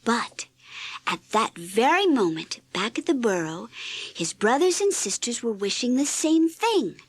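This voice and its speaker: A woman reading a scripted children's read-along story in an expressive, deliberate storytelling manner. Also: fairly high pitch, breathy edge, no echo. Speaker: storybook narrator.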